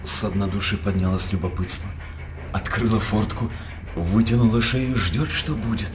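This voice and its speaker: An actor playing a sly, flattering, mock-pious court jester, declaiming wryly in a radio verse drama. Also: male voice, on the low side, normal speed, background noise, natural voice. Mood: wry envy. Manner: wry, declamatory.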